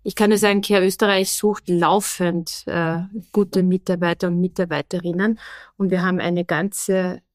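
A woman's voice: lowish voice, little pitch movement, normal speed, a little mellow, dry recording, clean studio sound. A woman from Austria interviewing a guest on the radio in an engaged, encouraging way.

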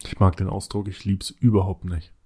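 A man's voice: deep voice, flat delivery, brisk, dark tone, husky. A man co-hosting a casual chat, joking and teasing himself. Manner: joking, self-mocking. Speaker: podcast co-host.

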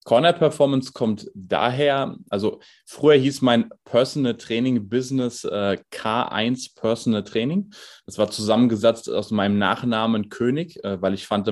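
A young man in his twenties with a lowish voice talks through his coaching career. He explains at a relaxed pace and fills his pauses with hesitations. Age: 20s. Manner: relaxed, explanatory, with many fillers. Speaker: strength and conditioning coach. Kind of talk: podcast interview.

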